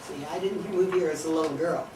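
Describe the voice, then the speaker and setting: An older woman chats through interview answers and often asks questions back. She sounds far from the microphone in a roomy, echoey space. Steady noise runs behind her. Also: low voice, brisk. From Canada. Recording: distant mic in a noisy, echoey room.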